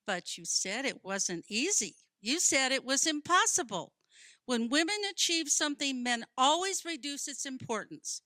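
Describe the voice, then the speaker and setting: A woman's voice: high-pitched, expressive, normal speed, pushed and bright, dry recording. A woman acting in a radio play as an earnest, principled librarian with a precise, questioning way of talking. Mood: indignant. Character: earnest, principled female librarian, quick to challenge.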